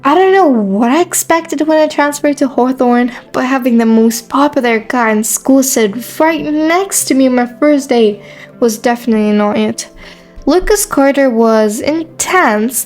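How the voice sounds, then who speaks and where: Woman playing a high-pitched, wry teenage girl. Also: moderate pace, darkish tone, soft background music, lightly acted. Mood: sarcastic. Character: shy but sarcastic teenage girl, new at school. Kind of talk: scripted first-person story narration with acted dialogue.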